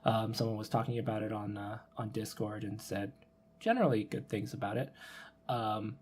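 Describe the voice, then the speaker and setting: A man's voice: fairly low pitch, normal speed, darkish tone, a little hiss or hum. A man hosting a podcast, casually running through news in a hesitant, hedging way. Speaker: podcast host.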